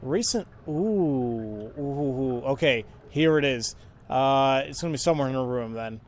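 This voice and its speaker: A man giving casual, halting let's play commentary, informally thinking aloud as he goes. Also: medium pitch, slight background noise. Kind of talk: casual video game commentary.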